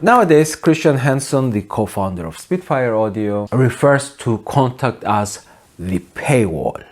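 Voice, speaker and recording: A man in his forties speaks at a middle pitch, laying out his opinions to camera. His delivery is deadpan and wry, in the style of a video essay. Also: a little slow. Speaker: host of a music production video channel. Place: small home studio, indoors.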